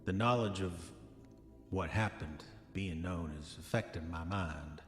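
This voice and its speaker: An actor playing an arrogant, dissolute diarist of the 1910s, speaking formal old-fashioned entries in a pompous manner for a horror audio drama. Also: male voice, low-pitched, measured pace, a bit bright. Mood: uneasy. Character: arrogant, dissolute 1910s male diarist, self-satisfied, increasingly haunted. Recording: echoey long reverb, music underneath. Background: music.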